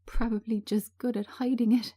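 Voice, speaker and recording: A woman voicing a calm, supportive male friend in a gentle, measured manner. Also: fairly high pitch, lightly acted. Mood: reassuring.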